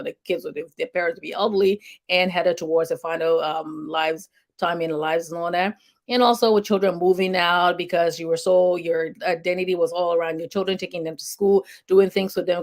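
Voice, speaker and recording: A middle-aged woman hosting a health show, talking informally and rambling, with frequent "okay" and "you know" asides. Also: fairly low pitch. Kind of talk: informal health talk to an audience.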